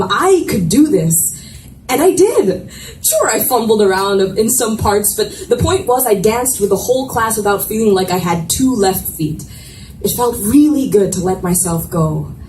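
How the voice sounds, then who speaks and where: A woman reading romance fiction aloud as expressive first-person narration, with dramatic pauses, at a live event. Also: mid-range voice. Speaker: reader of a romance novel. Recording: echoey room, distant mic, noisy ambience.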